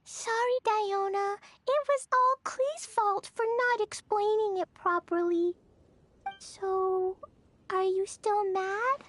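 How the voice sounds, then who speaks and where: A woman's voice: very high-pitched, fairly even intonation, slow, fairly bright tone, dry recording, heavily acted. A woman voicing a tiny, innocent, eager little girl in a video game. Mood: apologetic, hopeful. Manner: childlike, guileless, eager.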